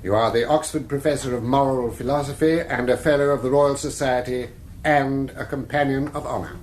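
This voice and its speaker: An actor playing a grave, fair-minded English prosecuting barrister, speaking with deliberate, formal persuasion in a radio drama courtroom. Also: male voice, medium pitch, fairly even intonation, a little mellow, close to an everyday voice. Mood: formal, probing. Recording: echoey courtroom sound, faint noise.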